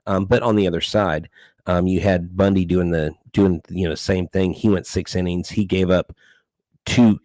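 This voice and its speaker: A man's voice: low-pitched, darkish tone, no echo. A man co-hosting a casual sports podcast, talking in rambling stretches full of restarts and fillers. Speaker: podcast co-host.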